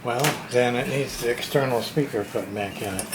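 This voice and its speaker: A man's voice: medium pitch, normal speed, very noisy. A man casually narrating a hands-on unboxing as a radio repair hobbyist, talking to himself in folksy short fragments.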